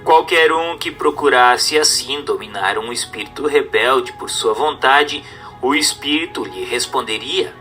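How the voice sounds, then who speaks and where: A man with a Brazilian accent reads a religious text aloud as a narrator, in a formal, measured, didactic manner over music. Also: medium pitch, pushed and bright. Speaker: narrator reading a book aloud. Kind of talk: scripted audiobook-style narration of a religious text.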